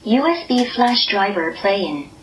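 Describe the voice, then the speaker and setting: A synthetic voice giving a device prompt through a loudspeaker. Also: medium pitch, sing-song, measured pace, roomy sound, muffled recording, heavy background noise. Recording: played through speaker, picked up from afar.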